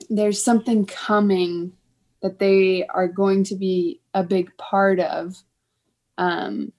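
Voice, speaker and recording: A woman in her late twenties talking as a podcast guest in a thoughtful, casual way, pausing between phrases. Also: medium pitch, a little mellow. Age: late 20s.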